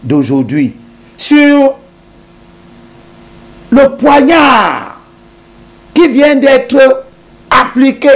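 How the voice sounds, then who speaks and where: A man, a politician, talking as a guest in a TV interview in an emphatic, lecturing way, repeating points to drive them home. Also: extremely high pitch.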